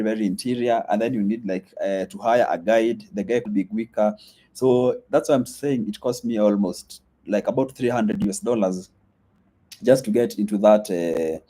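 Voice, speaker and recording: A young man talks casually into a live stream. His voice sits on the low side, and his speech is loose, with plenty of fillers. His is Swahili. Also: moderate pace, dark tone. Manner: loose and chatty, many fillers, reads comments aloud. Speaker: vlogger hosting a live stream. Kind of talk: casual live-stream chat reading comments.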